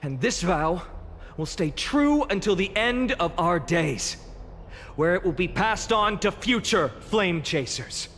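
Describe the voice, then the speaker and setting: Brief: earnest young male champion, high, unhurried solemn oratory, long hall reverb, soft score behind. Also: lively intonation, slight background noise, exaggerated voice. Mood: solemn rousing. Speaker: speaker addressing an assembly. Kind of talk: video game voice acting.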